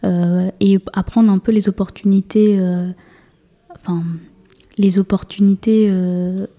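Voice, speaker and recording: A woman's voice: mid-range voice, flat delivery, measured pace, mellow. A woman in her twenties, a guest being interviewed, talking casually with many fillers and restarts. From France. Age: 20s. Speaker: guest, municipal councillor.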